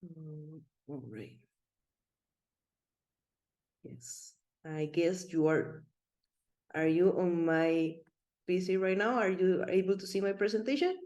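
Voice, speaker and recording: A woman's voice: lowish voice, slow, pauses often, a little mellow, echoey room. A middle-aged woman from Rica, giving an online presentation in a friendly, rambling way with many fillers and restarts. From Costa Rica. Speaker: web3 consultant presenter.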